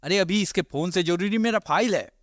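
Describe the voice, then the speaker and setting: An actor playing an irritated young husband in an animated story, with a complaining delivery. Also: male voice, sits high, fairly quick, noisy background, natural voice. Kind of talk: Hindi animated moral story voice acting.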